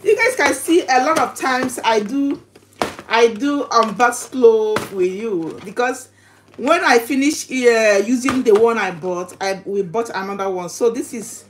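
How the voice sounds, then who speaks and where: A woman chatting casually to her livestream viewers while unboxing, halting and repeating herself, recorded in a home kitchen. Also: a little high. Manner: halting, repetitive, chatty delivery. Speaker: livestream vlogger. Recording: noisy home recording with clicks.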